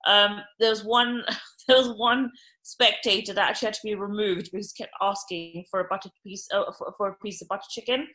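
A woman in her thirties, a restaurant owner, telling a podcast interviewer a long anecdote in an animated, chatty way with plenty of fillers. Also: medium pitch, brisk, rough recording.

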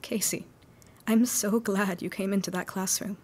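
A sweet, unsure college girl of the sixties, acted by a young woman for an audio drama. Her pitch is average. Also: fairly even intonation, normal speed, darkish tone, breathy edge, lightly acted. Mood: grateful. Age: young adult. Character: sweet, self-doubting young 1960s female student. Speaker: student actor.

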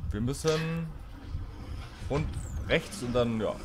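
A man's voice: mid-range voice, takes it slowly, background noise. A young man chatting casually during a co-op video game, giving short, offhand reactions. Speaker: let's player. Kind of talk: casual co-op gaming chat.

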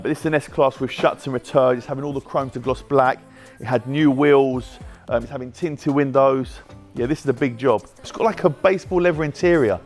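A British man in his thirties, hosting a casual, jokey walkaround of his car wrap workshop and chatting with his staff as he goes. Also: medium pitch. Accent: British English. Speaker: car wrap shop owner and host. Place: large bright indoor car workshop.

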